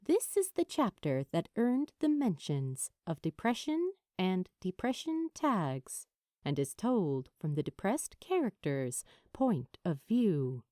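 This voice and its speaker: A woman narrating fiction from a script in a measured, expressive storytelling manner. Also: medium pitch, no echo, clean studio sound. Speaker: amateur audiobook narrator.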